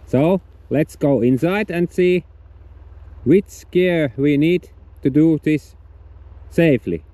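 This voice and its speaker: Finnish-accented man, halting non-native English, unhurried, roomy recording. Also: a little high, dark tone. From Finland. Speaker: outdoors vlogger. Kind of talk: casual instructional vlog. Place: outdoors on a frozen lake, later indoors.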